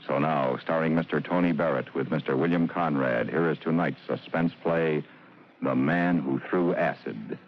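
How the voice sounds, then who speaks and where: A man with a very deep voice gives a formal, dramatic vintage radio introduction. Loud music plays behind him on a dull old recording. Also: normal speed. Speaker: announcer. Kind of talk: 1950s radio announcing, scripted.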